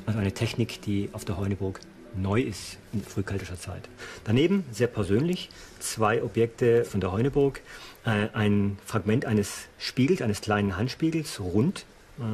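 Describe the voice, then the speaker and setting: A man's voice: fairly low pitch, darkish tone, slight background noise. A man presenting finds as an archaeology expert in a documentary interview.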